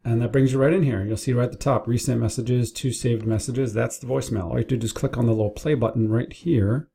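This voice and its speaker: Very dark-voiced man giving casual tutorial. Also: mid-range voice, fairly even intonation, quick pace, creaky, husky. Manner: informal, step-by-step explaining with repetition for emphasis. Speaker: business owner training staff. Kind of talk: casual screen-share tutorial narration. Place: indoors at a computer, keyboard and mouse clicks.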